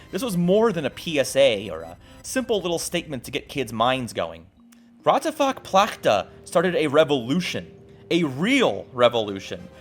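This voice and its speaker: A man hosting a video essay, narrating from a script with casual, profane asides in an irreverent, jokey, emphatic way. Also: medium pitch. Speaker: host of a video essay show. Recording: music playing behind the voice. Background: background music.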